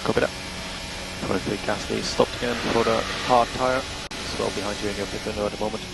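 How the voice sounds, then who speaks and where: A man working as a race engineer, giving measured, matter-of-fact instructions over team radio and repeating the key ones. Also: fairly low pitch, steady tone, vocal fry. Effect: team radio transmission. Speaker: Formula One race engineer. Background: vehicle and engine noise.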